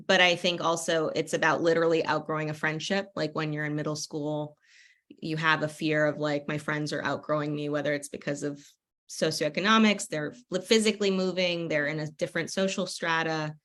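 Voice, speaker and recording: A middle-aged woman with an American accent talks casually about her writing. Her voice sits quite low yet has a clear bright edge. She rambles playfully, slipping into self-deprecating asides. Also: steady tone, fairly quick. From United States. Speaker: author. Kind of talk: casual author panel talk.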